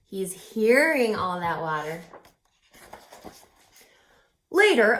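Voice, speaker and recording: A woman teacher reading a picture book aloud, expressive and asking the listener questions. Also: lowish voice. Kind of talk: children's read-aloud. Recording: roomy echo.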